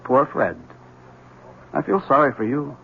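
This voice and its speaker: A man's voice: mid-range voice, sing-song, measured pace, creaky. An actor playing a bitter, brooding husband haunted by his wife in a 1970s radio drama. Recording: tinny old radio broadcast with faint hiss.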